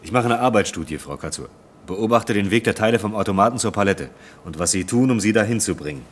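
An actor in a German radio drama playing a stiff, formal factory efficiency expert with a condescending manner. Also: male voice, on the low side, expressive, quick pace. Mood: matter-of-fact, formal. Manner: formal, condescending, matter-of-fact.

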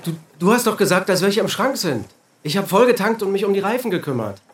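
An actor playing a hesitant, evasive husband in naturalistic radio drama, often answering in short mumbles. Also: male voice, sits high, sing-song, quick pace, noisy background. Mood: hesitant, defensive. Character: hesitant, evasive husband and father, restless drinker.

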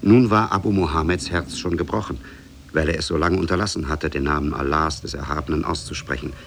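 An actor as the grave storyteller of an Arabian tale, narrating formally and evenly for a 1950s radio drama. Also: male voice, a bass voice, fairly even intonation, slight background noise. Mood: grave, measured. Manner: measured, formal.

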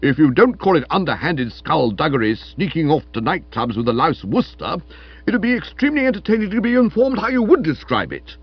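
Pompous, booming, jealous young suitor. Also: male voice, wildly swooping pitch, moderate pace, dry recording, lo-fi sound, full character voice. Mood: indignant. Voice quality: deep, resonant. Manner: stiff, crisp, indignant. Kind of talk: comic audiobook character acting.

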